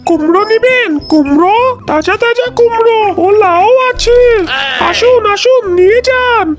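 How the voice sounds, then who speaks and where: Very high-pitched, earnest cartoon animal farmer voiced by a woman. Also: a little slow, faint music behind. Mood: coaxing. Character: earnest, put-upon young male animal farmer selling pumpkins. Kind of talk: cartoon character voice acting.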